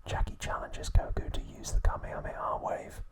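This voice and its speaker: A man narrates in a full, breathy whisper, with no voiced tone. He speaks as an ASMR host giving a recap. His delivery is slow and measured, one phrase at a time.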